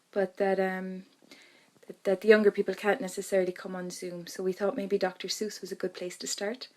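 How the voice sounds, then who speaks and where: Female staff member, informal closing message, flat mid-range delivery. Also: mid-range voice. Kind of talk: casual explanatory closing message.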